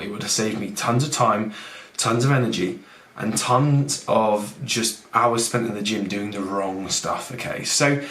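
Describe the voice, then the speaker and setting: A young man with a husky, fading voice, a fitness coach hosting a casual podcast who rambles, mocks himself and talks straight to the listener. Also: medium pitch, fairly bright tone. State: husky, losing his voice. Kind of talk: casual podcast talk, teaching. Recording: echoey room with faint background noise.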